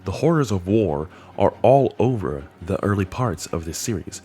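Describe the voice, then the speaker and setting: A man's voice: deep voice, expressive, normal speed, mellow. A man giving casual, enthusiastic commentary on a horror web series, with slangy wording. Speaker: video host. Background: background music.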